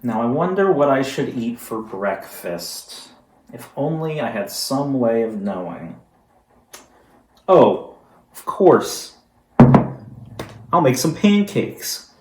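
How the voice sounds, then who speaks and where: A man, a teacher, reading a children's picture book aloud with slow, deliberate storytelling. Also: mid-range voice, very animated, a little mellow. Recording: roomy room with background music.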